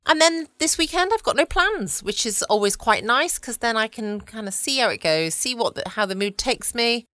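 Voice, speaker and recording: A woman with a southern English accent hosting a bedtime podcast, chatting gently and casually. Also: on the high side, animated, moderate pace, hardly any pauses, no room sound. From United Kingdom. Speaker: podcast host. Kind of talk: relaxed bedtime podcast chat. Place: home, dry quiet room.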